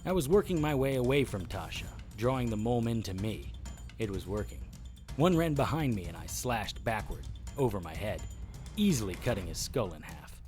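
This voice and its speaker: A defiant, hard-boiled investigator narrating an audio drama. His voice is on the low side and matter-of-fact as he tells of battle. Soft music runs behind him. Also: very animated, moderate pace. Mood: grim, intense. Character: tough, defiant male private investigator, sword-fighting monster hunter.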